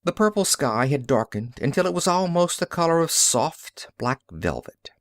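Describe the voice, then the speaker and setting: A man's voice: on the high side, very animated, moderate pace, no room sound. Man narrating an audiobook, dry close sound. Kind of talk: scripted narration of pulp science fiction.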